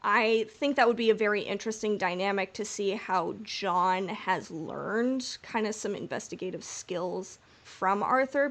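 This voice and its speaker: A woman podcast co-host chatting casually in a playful, informal way about something she follows as a fan. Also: medium pitch.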